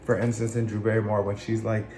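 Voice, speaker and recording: A man talking in a casual, earnest monologue, his voice still rough from being sick, often circling back and restarting his phrases. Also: fairly low pitch, level tone, quick pace, mellow, roomy sound, slight background noise. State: recovering from illness, voice still rough. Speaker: channel host.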